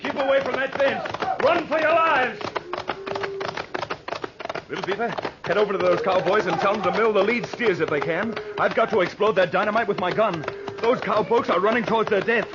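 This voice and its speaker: A man's voice: high-pitched, moderate pace, lightly acted. A man playing a resolute, earnest cowboy hero with a commanding manner in an old radio western. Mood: urgent. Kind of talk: 1940s radio western acting. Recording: very noisy muffled old radio recording.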